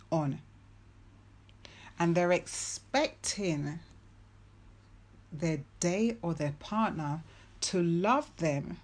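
A woman giving a faith-based motivational talk, speaking earnestly and emphatically and repeating key phrases for weight. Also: lowish voice.

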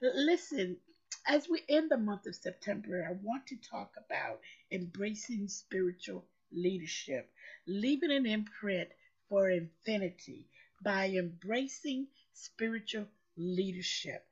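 A hoarse woman hosting a motivational show, speaking in a preachy, emphatic way and repeating phrases for effect. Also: medium pitch, animated, unhurried, muffled recording. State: hoarse, recovering lost voice. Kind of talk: slow motivational talk.

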